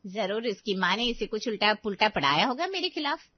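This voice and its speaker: A woman's voice: mid-range voice, sing-song, fairly quick, dull audio. A woman voicing a spiteful old village grandmother in a story, scolding and sarcastic. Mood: defensive, indignant.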